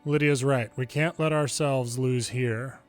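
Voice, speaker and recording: A man's voice: mid-range voice, fairly even intonation, measured pace, soft background music. A man reading video game dialogue aloud dramatically, acting out each character's lines in turn.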